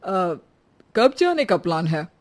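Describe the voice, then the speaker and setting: A woman's voice: lowish voice, very animated, slow pace, a little mellow. Woman acting hesitant, awkward adult brother. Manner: hesitant, hedging.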